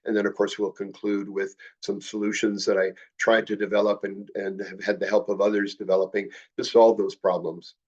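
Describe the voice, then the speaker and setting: A man's voice: on the low side, little pitch movement, a little mellow, dull audio. An older man with a head cold, sounding a little nasal, giving a slideshow talk on model railroading with many uh and um fillers. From Canada. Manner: measured, frequent uh and um fillers. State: congested from a cold, slightly nasal. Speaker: model railroad clinic presenter.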